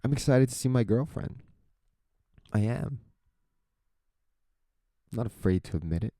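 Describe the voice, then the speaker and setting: A young man with a soft, soothing voice, talking slowly and reflectively into a close microphone in a solo podcast. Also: medium pitch, lively intonation, dry recording. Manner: slow, introspective, rambling. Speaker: podcast host.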